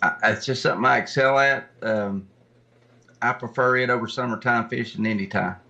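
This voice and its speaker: A man's voice: mid-range voice, steady tone, normal speed, vocal fry, pushed and bright. A man chatting casually as an interview guest in a plainspoken way, on dull lo-fi audio. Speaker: podcast guest, tournament kayak angler.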